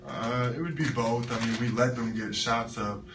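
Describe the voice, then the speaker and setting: A young man, a college basketball player, answering press questions after a loss with measured, halting answers full of fillers. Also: medium pitch. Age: young adult. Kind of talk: postgame press conference answers. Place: press room with sponsor backdrop. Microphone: table mic, recorded by a camera from the floor. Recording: echoey room from far off, noisy.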